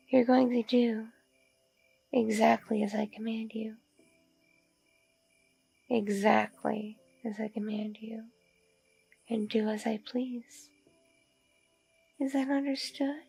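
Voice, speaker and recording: A woman playing a seductive, commanding mature female vampire in an intimate ASMR roleplay, breathy, purring and often whispering. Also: a little high, mellow, no echo, full character voice. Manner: slow, drawn-out, purring, domineering.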